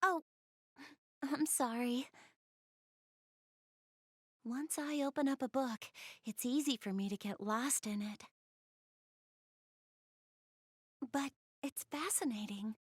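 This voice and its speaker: A shy, bookish young girl from a video game, speaks in a clearly high voice. She is gentle and earnest, a little hesitant as she talks directly to the listener. The sound is dry, free of room echo. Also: slow pace, pauses often, full character voice. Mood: absorbed, apologetic. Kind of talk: video game cutscene dialogue, addressing the listener.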